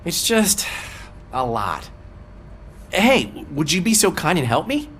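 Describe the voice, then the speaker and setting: An actor playing a weary, soft-hearted male police officer in a video game, hesitant and apologetic. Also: fairly high pitch, big pitch swings, a little hiss or hum, exaggerated voice. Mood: flustered. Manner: hesitant, halting.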